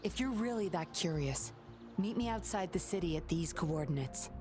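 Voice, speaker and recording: A woman playing a composed, sly undercover patroller in a video game, coolly confident and measured. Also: on the low side, lively intonation, close to an everyday voice. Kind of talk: scripted video game voice acting. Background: game music.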